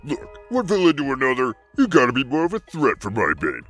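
A man voicing a huge, grumpy turtle-monster villain in a fan cartoon, gravelly and raspy, sarcastic and given to outbursts. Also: mid-range voice, huge pitch swings, fairly quick, fairly bright tone, soft background music. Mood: scornful. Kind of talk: animated fan cartoon acting.

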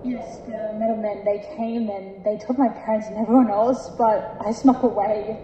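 A woman's voice: medium pitch, steady tone, moderate pace, muffled recording, lightly acted. A woman playing a frightened but bossy young girl in an amateur audio drama. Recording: echoey, reverberant, noisy amateur audio drama.

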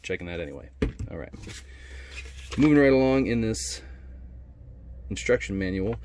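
A man with a low voice talks through a product unboxing in a casual way. His manner is laid-back and colloquial, like an informal reviewer at home. A faint layer of room noise sits behind him. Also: expressive, moderate pace, a little mellow. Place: indoors at a wooden workbench.

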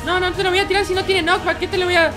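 An extremely high, childlike cartoonish gamer voice, sounding female, chats excitably through a video game. Words come in rapid repeats. Loud music plays behind it all. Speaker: video game player and channel host. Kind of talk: excited Minecraft gameplay chat.

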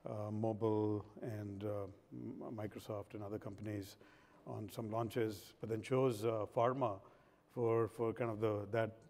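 Man in his forties from India, executive panelist, earnest and halting, lapel mic in a reverberant hall. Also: on the low side, steady tone, a little mellow, a little hiss or hum. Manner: earnest, hesitant with many fillers. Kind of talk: conference panel talk. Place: large conference stage.

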